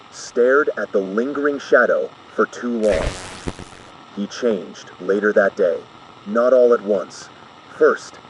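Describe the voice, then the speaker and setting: A man playing a calm, formal emergency broadcast announcer in an analog horror piece, measured and ominous. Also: mid-range voice, slight background noise, lightly acted, rough recording.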